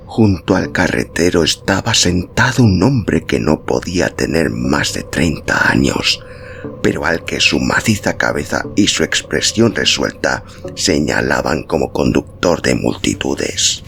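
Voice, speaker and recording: A man narrating a literary story in a measured, dramatic manner. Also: a bass voice, gravelly, fairly bright tone, a little hiss or hum. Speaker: audiobook narrator.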